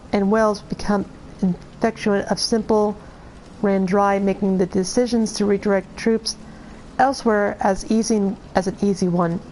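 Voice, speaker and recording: Gamer girl reading lore off the screen, voice going out, stumbles and restarts a lot, mid pitch, faint game music behind. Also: a little mellow, rough recording. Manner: halting reading with many restarts and fillers. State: losing her voice. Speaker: gameplay streamer. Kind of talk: reading in-game lore aloud while playing.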